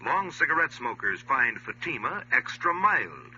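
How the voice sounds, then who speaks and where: A male commercial announcer with a sharp, forceful voice and lively, swinging intonation. He sells in scripted 1950s radio style on a dull old recording. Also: medium pitch, a little slow, a little hiss or hum.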